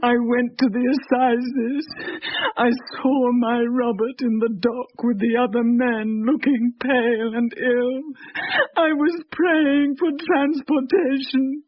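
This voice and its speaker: A woman playing a starving young widow's ghost, high-voiced and weeping, in a slow, broken confession. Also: dry recording. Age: 20s. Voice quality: tearful, grieving. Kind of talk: radio drama acting. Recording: dull lo-fi radio sound.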